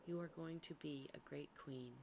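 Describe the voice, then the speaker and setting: A woman voicing a stately fairy lady who passes judgement in a hushed, solemn, formal manner. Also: sits low, steady tone, moderate pace, a little hiss or hum. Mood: solemn approval.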